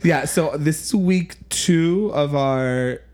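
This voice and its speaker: A man's voice: fairly high pitch, takes it slowly, a little mellow. A man co-hosting a casual podcast chat, joking and rambling with lots of fillers. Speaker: podcast co-host.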